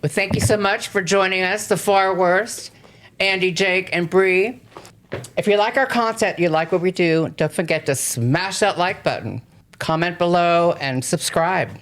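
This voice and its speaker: Female co-host, lowish voice, upbeat playful sign-off. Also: unhurried. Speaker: podcast co-host. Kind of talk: casual chat and show sign-off.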